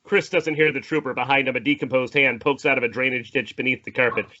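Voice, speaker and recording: A man's voice: mid-range voice, steady tone, quick pace, sharp tone, muffled recording, slight background noise. A man narrating a screenplay at an informal table read, with a theatrical, playful delivery. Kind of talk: dramatic scripted narration, informal with asides.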